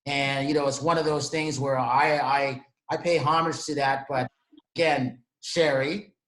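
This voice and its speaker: A man's voice: mid-range voice, fairly even intonation, unhurried, a bit bright. Easygoing male host, echoey room. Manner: directs the show, easygoing. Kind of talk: hosting a video call show. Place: home room. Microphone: video call.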